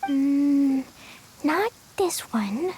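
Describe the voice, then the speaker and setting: A woman's voice: sits high, animated, drawn-out words, darkish tone, a little hiss or hum, heavily acted. A woman voicing a tiny, innocent little girl in a video game, cheerful and curious, with a naive childlike way of talking. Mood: eager, searching. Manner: childlike, naive, simple phrasing. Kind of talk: scripted game dialogue.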